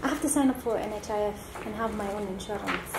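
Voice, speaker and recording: A woman's voice: mid-range voice, fast, creaky. A young woman joking and bickering with her sister in a room at home. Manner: teasing, bickering. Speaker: vlogger's sister, nurse. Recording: echoey room with background noise.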